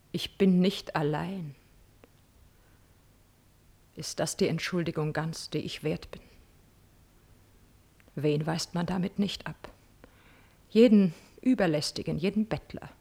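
Husky proud, spurned countess. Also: female voice, fairly low pitch, darkish tone, exaggerated voice. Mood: wounded, demanding. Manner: theatrical, swinging between exclamation and whisper. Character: proud, spurned countess, wounded, bitter and insistent. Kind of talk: classical German stage radio drama.

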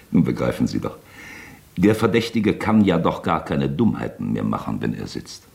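A man's voice: rumbling low, very animated, a little slow, gravelly, darkish tone, full character voice. An actor in a German radio drama playing a wily, talkative, mock-genial male magistrate who toys with his suspect, insinuating and falsely chummy. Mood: gleeful, taunting.

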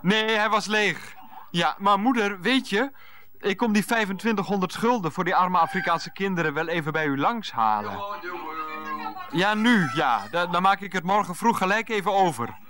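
A flustered grown son in a radio comedy, with a bright, forceful voice. He stammers, wheedles and turns indignant as he placates his mother over the phone. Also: sits high, lively intonation, moderate pace. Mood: nervous, wheedling. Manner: stammering, indignant, put-upon. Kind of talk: 1990s radio comedy acting.

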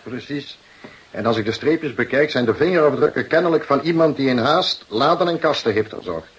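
A man's voice: medium pitch, expressive, fairly quick, close to an everyday voice. Wry fingerprint expert played by a man, dull recording. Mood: smug, playful. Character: wry, proud male police fingerprint expert. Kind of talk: Dutch radio drama acting.

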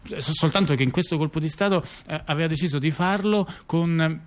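A man telling a story conversationally, repeating words and often tagging on little questions. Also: fairly high pitch. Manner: halting, with repeated words and frequent rhetorical tag questions. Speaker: storyteller in a two-person narrative theatre dialogue. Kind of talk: conversational narrative monologue.

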